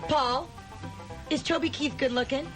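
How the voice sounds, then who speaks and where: Female radio co-host, high lively voice, playful and argumentative, music in the background. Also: unhurried. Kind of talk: casual morning radio banter. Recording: music behind the voice.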